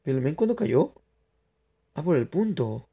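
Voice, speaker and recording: A man's voice: a little high, unhurried, gravelly, slightly rough. Chatty young streamer guy. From Peru. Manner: chatty, excitable, laughs often, addresses viewers. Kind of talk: live gameplay commentary.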